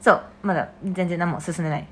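A woman's voice: on the low side, steady tone, fast talker, a bit bright. A woman with speech, an actress co-hosting a podcast, chatting casually and agreeably and reading out listener letters.

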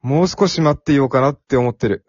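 A man's voice: medium pitch, fast talker, darkish tone, husky, dry recording, muffled recording, lightly acted. A man playing a timid, earnest young office worker in an amateur radio drama, with plain boyish phrasing. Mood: hesitant.

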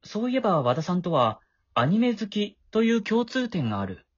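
A man's voice: high voice, very animated, moderate pace, no room sound, dull audio. A man acting a shy, awkward middle-school boy with a crush in an amateur voice drama, flustered and prone to stammering. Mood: wistful narration.

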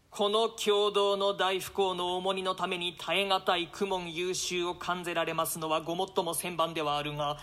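A courtly lord played by a male actor with a high, forceful, bright voice. He speaks like a persuasive counsellor, in formal and oratorical period language from a stage history play. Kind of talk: theatrical classical Japanese play reading.

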